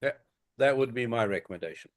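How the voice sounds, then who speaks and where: A man giving technical advice on a group call in a deliberate, matter-of-fact way. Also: medium pitch, animated, no echo, clean studio sound. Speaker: technical adviser. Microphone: conference call.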